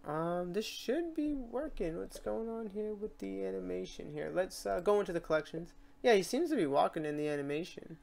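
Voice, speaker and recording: A tutorial host walking through software steps in an informal way, thinking aloud while demonstrating. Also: medium pitch, expressive, moderate pace, creaky. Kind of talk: casual screen-recorded software tutorial.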